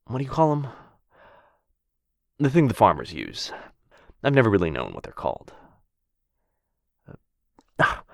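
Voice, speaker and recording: A man's voice: fairly low pitch, gravelly, no echo, clean studio sound, exaggerated voice. A man voicing a possessive, doting dragon captor in a boyfriend ASMR fantasy roleplay, theatrical and coaxing, with hesitant musing.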